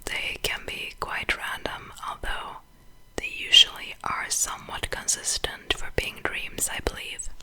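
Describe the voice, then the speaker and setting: A young woman with a Swedish accent, whispering softly and slowly as an ASMR creator. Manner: soft unvoiced whisper, slow and unhurried.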